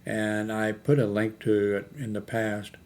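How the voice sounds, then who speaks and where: An older man hosting a casual unscripted vlog, thinking aloud in a hesitant, halting way as he browses products. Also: fairly low pitch, flat delivery, a little mellow. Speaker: YouTube host. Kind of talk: rambling, unscripted screen-browsing product chat. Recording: noticeable background noise, homemade recording.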